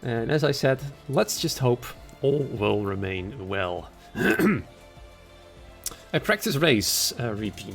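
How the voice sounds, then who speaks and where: A man commentating a sim racing league stream in a chatty way, his voice hoarse and raspy after an illness. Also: medium pitch. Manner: chatty, conversational, greets viewers by name. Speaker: race commentator and league host.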